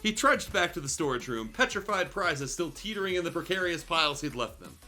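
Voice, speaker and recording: Guy reading a fanfic on stream, kinda high lively voice, super bright, sweary and theatrical, music in back. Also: a little fast. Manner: irreverent, sweary, theatrical storytelling. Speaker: guest host reading fan fiction aloud on a livestream show. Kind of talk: comedic dramatic reading with casual asides. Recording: music playing behind the voice.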